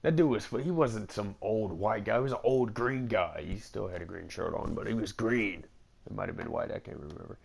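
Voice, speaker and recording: A man's voice: lowish voice, brisk, vocal fry, slight background noise. A young man giving casual, jokey video game commentary with offhand, rambling asides. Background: game music.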